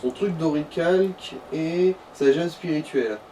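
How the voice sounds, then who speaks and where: A man's voice: a little high, a little slow, mellow. A man chatting casually as co-commentator of a video game, giving dry, corrective advice to the player. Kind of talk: casual gaming chat. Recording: distant mic with faint noise.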